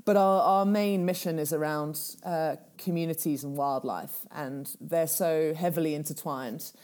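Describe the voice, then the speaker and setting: Low mellow-voiced woman from Kenya. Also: steady tone, measured pace. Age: 30s. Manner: thoughtful, many fillers and restarts. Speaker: guest, charity founder. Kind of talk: casual interview talk.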